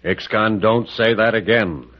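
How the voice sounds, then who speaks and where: An actor playing a tough, hot-headed captain in an old-time radio adventure, curt and threatening in delivery. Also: male voice, fairly low pitch, a little slow, close to an everyday voice. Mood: angry, threatening. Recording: muffled vintage radio recording.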